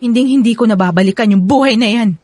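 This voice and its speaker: An actress plays an exasperated, strong-willed young woman in a radio drama. Her voice is fairly high with a raspy edge and speaks emphatically, in a very dry studio sound. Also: quick pace, a little mellow, dry recording, natural voice. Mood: exasperated, firm.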